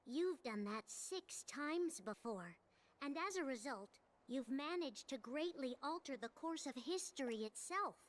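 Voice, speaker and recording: Game casting: tiny solemn spirit creature, very high voice, slow deliberate delivery. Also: female voice, animated. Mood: grave warning. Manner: earnest, deliberate, cautioning. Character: small cute cat-like spirit guide, gentle and solemn. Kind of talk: video game cutscene voice acting.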